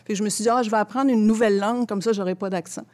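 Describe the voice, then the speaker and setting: A woman's voice: medium pitch, fairly even intonation, very fast, darkish tone. Woman with Quebec French accent, reflective. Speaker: interview guest, theatre translator. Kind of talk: reflective, conversational interview answers.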